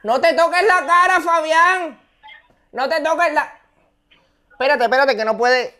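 A man's voice: very high voice, measured pace, sharp tone, full character voice. Man putting on a brazen, drawling mother. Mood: scolding, agitated. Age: young adult.

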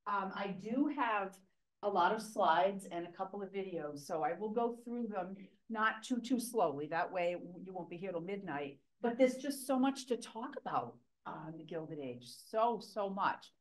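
A middle-aged woman fashion historian, starting a public lecture in a library meeting room, loud, chatty and enthusiastic, heard from a camera in the audience. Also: mid-range voice, lively intonation, unhurried, low-quality recording. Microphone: far camera in the audience.

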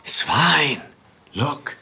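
A man's voice: mid-range voice, sing-song, drawn-out words, vocal fry, pushed and bright, breathy edge, full character voice. An actor playing a wheedling, evasive middle-aged male scriptwriter in a comedy soap. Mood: scheming. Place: office, indoors.

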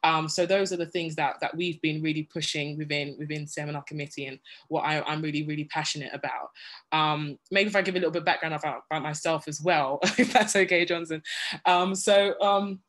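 A woman's voice: low voice, fairly even intonation, fairly quick, fairly bright tone. Woman from guyana on a video call panel, earnest, lots of ums and restarts. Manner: earnest, many restarts and fillers.

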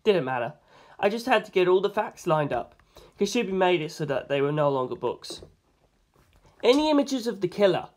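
A reader narrating a novel aloud in the first person, expressive and informal. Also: mid-range voice, fairly quick. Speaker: amateur audiobook reader.

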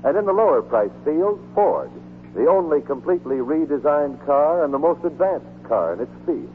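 A man's voice: a little high, animated, normal speed. An American man reading a scripted announcement in the style of 1940s radio. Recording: tinny 1940s radio broadcast with hum.

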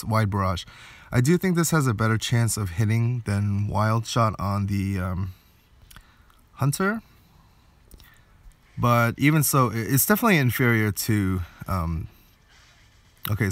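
A man's voice: on the low side, dry recording. A man giving casual gameplay commentary, thinking aloud a little hesitantly as he plays. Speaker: game video creator.

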